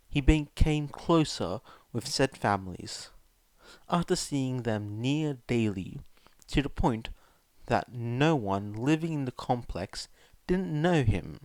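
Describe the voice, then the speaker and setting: A man's voice: mid-range voice, darkish tone, no room sound. A man reading a story aloud as an audiobook narrator, in scripted narration.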